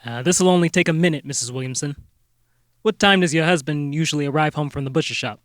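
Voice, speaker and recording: A man plays an earnest amateur sleuth in a comic radio play. His voice sits a bit high and is recorded dry. He speaks politely and haltingly, with a folksy, slightly bumbling manner. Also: fairly bright tone, dry recording, close to an everyday voice. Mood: businesslike, halting. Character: earnest small-town amateur detective, polite, a little bumbling. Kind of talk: comic radio-drama acting.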